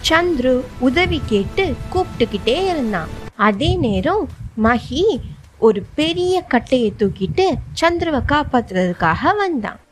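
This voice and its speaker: A woman's voice: high-pitched, big pitch swings, a little slow, faint music behind. A woman narrating a scripted children's story as the storyteller.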